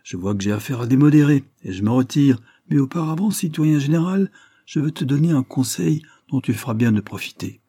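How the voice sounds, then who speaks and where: Storybook villain acted by a man: menacing revolutionary official, ironic and contemptuous, declamatory delivery, raised pitch, dark timbre. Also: expressive, a little fast, no echo, studio quality. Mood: threatening.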